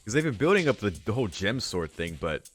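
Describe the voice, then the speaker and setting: A man's voice: lowish voice, sing-song, a little fast, faint music behind. A man hosting a let's play, reading a visual novel aloud and adding informal, musing asides.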